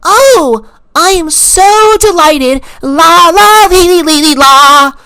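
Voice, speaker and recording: Prissy, high, bright cartoon busybody. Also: female voice, expressive, slow, heavily acted. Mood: gleeful, babyish. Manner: fussy, sing-song, babyish chanting. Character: prissy, dainty, smug assistant principal obsessed with docility.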